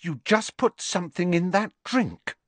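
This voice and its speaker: A man voicing an earnest, indignant teenage schoolgirl in an audiobook, with a sharp, accusing, emphatic manner. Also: high-pitched, animated, a little slow, dry recording, pitched above the performer's own voice. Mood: sharp, alarmed.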